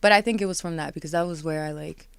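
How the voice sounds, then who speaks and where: A woman in her twenties from Miami, chatting casually as an interview guest, relaxed and laughing, with many filler words. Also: low voice, fast talker, vocal fry, clear, resonant tone, dry recording, studio quality. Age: 20s. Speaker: guest artist.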